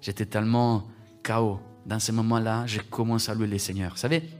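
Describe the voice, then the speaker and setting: A man from Italy, preaching a livestreamed sermon that repeats its phrases and builds from quiet talk to loud exhortation. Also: on the low side, flat delivery, fairly quick, soft background music. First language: Italian.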